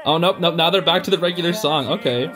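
A young man giving casual, excitable, slangy reaction commentary as a video host. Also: a little high, quick pace. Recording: music playing behind the voice. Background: stage show music.

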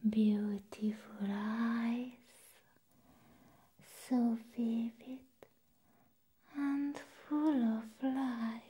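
A young woman playing an eerie, playful vampire nurse in an ASMR medical roleplay, speaking in a breathy whisper with lingering, repeated words and hums. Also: fairly high pitch, steady tone, extremely slow, long pauses, dark tone, full character voice. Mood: entranced, coaxing. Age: young adult. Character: eerie, playful female vampire nurse examining a human patient. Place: small room set up as a clinic. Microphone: close ASMR microphone.

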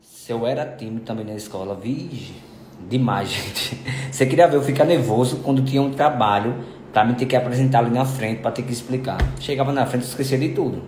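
A man's voice: mid-range voice, a little fast, darkish tone, a little hiss or hum. A man, chatting playfully and affectionately while answering follower questions on his phone at home. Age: 20s. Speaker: social media influencer. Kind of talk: casual selfie Q&A. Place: indoors at home, bedroom and kitchen. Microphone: phone at arm's length.

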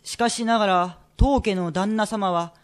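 A man acts as a greying shop clerk in a period radio drama. His voice is high for a man, and he speaks with formal, deferential courtesy. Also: a little slow. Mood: deferential, persistent.

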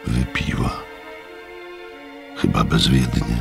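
Radio drama narrator: reflective eyewitness, very deep and creaky, over faint music. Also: male voice, takes it slowly, exaggerated voice. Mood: grave, observant. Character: thoughtful male narrator, sceptical yet impressed witness.

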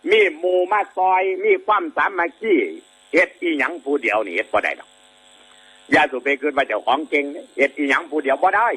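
A man's voice: medium pitch, lively intonation, a little fast, sharp tone, breathy edge, low-quality recording. A man with an Isan Thai accent, a monk telling a moral fable to lay listeners in a rambling, folksy way, full of filler sounds and frequent checks that the audience agrees. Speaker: Buddhist monk giving a dharma talk.

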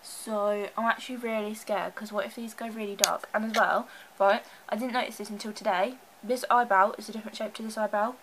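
A woman's voice: medium pitch, steady tone, brisk, hardly any pauses, background noise. A young woman vlogging in her bedroom, a bit bunged up from a cold, talking anxiously and chattily to a handheld camera. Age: young adult. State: congested from a cold. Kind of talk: casual vlog chat to camera. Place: bedroom at home. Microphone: handheld camera close up.

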